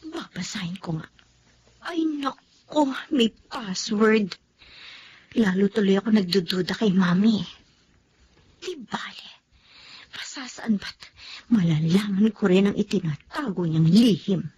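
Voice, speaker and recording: A woman acting a suspicious, sharp-tongued young daughter in a radio drama, prying and sarcastic, muttering asides to herself. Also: mid-range voice, measured pace, long pauses, dull audio, a little hiss or hum, natural voice.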